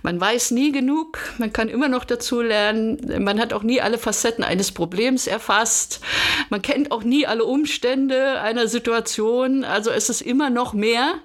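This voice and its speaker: A woman's voice: on the high side, moderate pace. Woman from Berlin explaining things in an interview. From Germany, Berlin. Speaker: podcast guest, coach. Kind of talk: conversational interview answers.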